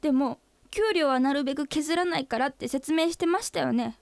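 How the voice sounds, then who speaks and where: A woman's voice: high voice, brisk, vocal fry, no echo. An actress playing a stern, exasperated female employee in a comedic radio drama, confronting her boss in polite but blunt, matter-of-fact speech. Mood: accusing, firm.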